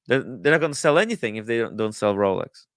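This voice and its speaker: A man hosting a livestream in casual talk, haltingly thinking aloud as he goes. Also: lowish voice, animated, no echo, studio quality. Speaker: livestream host. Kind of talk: casual livestream chat, reading viewer comments and riffing.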